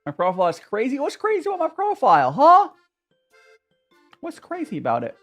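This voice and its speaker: A man's voice: extremely high pitch, wildly swooping pitch, a little fast, a bit bright, faint music behind. A young man from Southern California with a Southern Californian accent, chatting casually with viewers while drinking tea, rambling and self-mocking. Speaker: streamer hosting a tea chat. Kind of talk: casual livestream chat with viewers.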